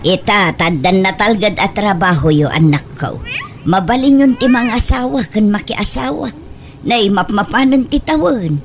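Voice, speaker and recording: A woman's voice: medium pitch, normal speed, soft background music, close to an everyday voice. A woman playing a chatty, fussing mother in a comedic Ilocano radio drama. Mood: fussing, nagging.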